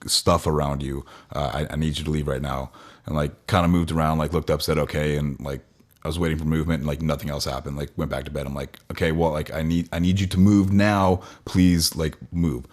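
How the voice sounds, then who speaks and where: Very deep-voiced man telling stories casually. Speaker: podcast co-host. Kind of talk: casual banter and storytelling.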